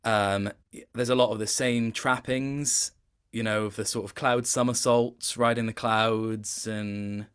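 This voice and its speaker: A man's voice: on the low side, steady tone, a little slow, fairly bright tone, clear, resonant tone. A man speaking casually as an interviewed voice actor, thoughtful and hesitant, often circling back to rephrase what he is saying.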